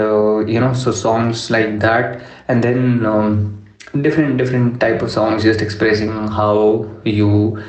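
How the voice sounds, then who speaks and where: A young man from Delhi, a rapper, answering interview questions in a measured way, with many fillers like "you know" and "uh". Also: on the low side, darkish tone. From Delhi, India. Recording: echoey room, dull lo-fi sound.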